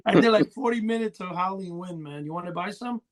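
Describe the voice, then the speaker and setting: A man's voice: high voice, a little fast, crowd noise. A man co-hosting a podcast in casual, joking chat. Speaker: podcast co-host.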